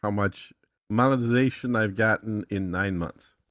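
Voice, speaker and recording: A man from Texas chatting casually as a guest, explaining things hesitantly and thinking aloud with pauses. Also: fairly low pitch, a bit bright, no room sound. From Texas, United States.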